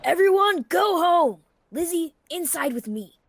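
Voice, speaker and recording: Girl doing a fed-up old sheriff, high husky voice, swoops around a lot, radio play. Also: lively intonation, measured pace, a faint crowd, heavily acted. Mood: commanding. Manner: blunt, exclamatory. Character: exasperated small-town sheriff, older gray-haired man. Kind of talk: radio theatre acting.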